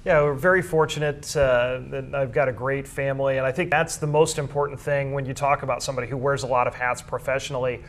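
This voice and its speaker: Measured, explanatory man with mid-range voice. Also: mid-range voice, a bit bright, a little hiss or hum. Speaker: interview guest, school sports information director and announcer. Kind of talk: conversational interview answers.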